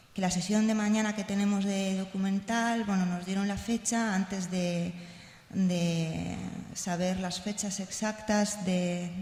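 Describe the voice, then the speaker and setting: Creaky-voiced woman speaking in an echoey hall. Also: medium pitch, a little mellow. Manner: hesitant, halting, seeking agreement. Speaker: radio researcher and conference speaker. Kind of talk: informal spoken presentation. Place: large indoor conference hall. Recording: echoey hall, room microphone sound.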